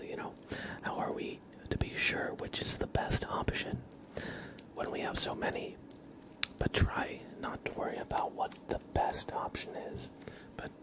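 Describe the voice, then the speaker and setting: An American man hosting an ASMR livestream, speaking in a soft, breathy whisper with an unhurried, gently reassuring manner. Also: poor audio. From East Coast of the United States, near New York. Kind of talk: whispered ASMR, casual livestream chat and card reading.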